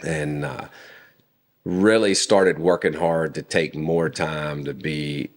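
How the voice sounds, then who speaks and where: Very deep-voiced man telling stories. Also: slow. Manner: relaxed storytelling with frequent fillers. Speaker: podcast guest. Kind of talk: casual conversation.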